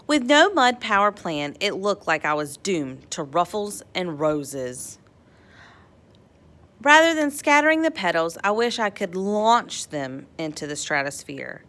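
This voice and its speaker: Bright, animated woman reading a storybook. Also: medium pitch. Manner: animated, dramatic emphasis, playful drawn-out words. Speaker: storybook read-aloud narrator. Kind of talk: expressive children's picture book read-aloud.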